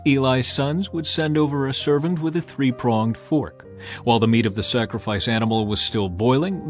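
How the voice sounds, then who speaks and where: A man reads scripture aloud in a measured, solemn narration. His voice sits at a middling pitch for a man, and soft music plays under the reading.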